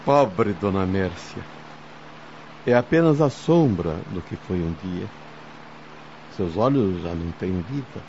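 An actor playing a loyal, timid, sorrowful chauffeur in a radio soap opera, deferential and dramatic. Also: male voice, sits low, animated, takes it slowly, long pauses, darkish tone, heavily acted. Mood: pitying, wistful. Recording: dull noisy audio, car ambience.

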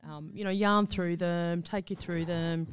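A woman's voice: lowish voice, steady tone, measured pace. An Australian woman co-hosting a community radio show, chatting casually, laughing often and using local slang, in a studio.

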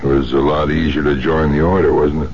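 An actor playing a gruff, cynical older art dealer in a 1970s radio drama, blunt and sardonic with streetwise phrasing. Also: male voice, a bass voice, flat delivery, a little fast. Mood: sardonic, needling. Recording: muffled, hissy 1970s radio recording.

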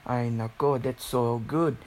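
A teenager with a Filipino accent talks at a very relaxed, slow pace in a medium pitch. The delivery is a casual ramble that circles back on itself, dotted with exclamations. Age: teens, about 16. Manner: repetitive, exclaiming, Filipino interjections. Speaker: video creator.

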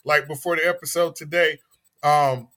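A man in his early forties hosting a podcast, talking in an animated, emphatic way and often repeating phrases. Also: fairly high pitch, moderate pace. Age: 40s. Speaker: podcast host. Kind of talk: casual chat.

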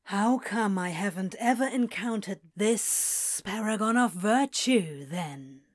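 A woman's voice: mid-range voice, animated, slow pace. A woman playing a sly, grumbling male snake in an audio drama, drawling and stretching out the vowels. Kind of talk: acted fiction podcast dialogue.

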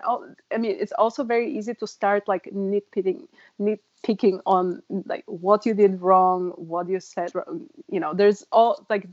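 A middle-aged woman from Bulgaria giving reflective advice in a conversation, laughing softly between points. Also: medium pitch, dry recording, highs cut off. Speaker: guest adviser.

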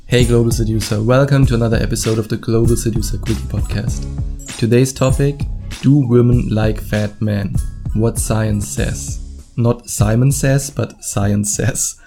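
A man's voice: mid-range voice, fairly even intonation, normal speed, music in the background. A man with a German accent hosting a solo podcast, speaking informally and bluntly straight to the listener. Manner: informal, blunt, swears casually, acts out imagined quotes. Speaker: podcast host and dating coach. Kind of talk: solo podcast monologue, casual direct address.

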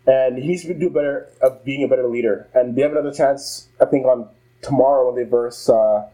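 A man's voice: mid-range voice, fairly quick, dark tone, low-quality recording. A young man co-hosting a basketball podcast, talking casually and bluntly, swearing and laughing easily. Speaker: podcast co-host. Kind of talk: casual sports chat.

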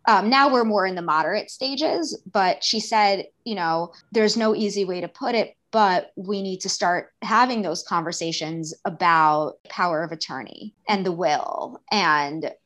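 A woman, speaking as a guest in a candid interview, earnest and animated, acting out bits of dialogue as she talks. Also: lowish voice, unhurried, hardly any pauses. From New York. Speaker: guest, daughter and caregiver.

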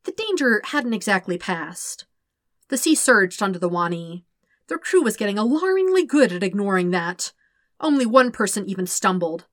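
A woman reading a story aloud as an audiobook narrator, with expressive scripted delivery. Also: medium pitch, moderate pace, no echo, studio quality. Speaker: podfic narrator.

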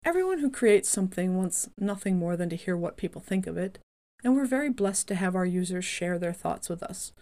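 A woman reading the answers of a calm older male company founder in an imagined interview, in a modest, measured way. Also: lowish voice.

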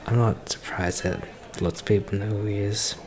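A man playing a shy, flirtatious celebrity in an ASMR romance roleplay, speaking hesitantly with nervous soft laughs. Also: on the low side, fairly even intonation, normal speed, natural voice. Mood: bashful, awkward. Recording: bar crowd chatter behind voice. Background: crowd noise of a bar.

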